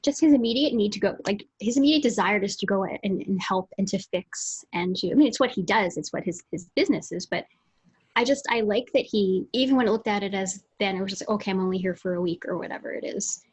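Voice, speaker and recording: Husky woman co-hosting a book chat. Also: medium pitch, fast. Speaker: co-host. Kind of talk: casual book discussion. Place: indoor room at home, video call. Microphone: video call.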